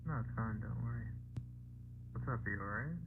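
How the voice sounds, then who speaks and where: A man's voice: on the low side, brisk, creaky, over music. An actor playing an obliging, slightly reluctant male friend on a phone call in an analog horror video, through a telephone filter. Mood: reassuring. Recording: tinny, noisy phone line with hum.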